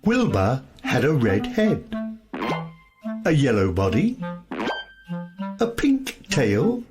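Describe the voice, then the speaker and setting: A woman reading a children's story in a deliberate, expressive way, with music behind her. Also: very deep voice. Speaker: storybook reader. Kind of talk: scripted children's story narration.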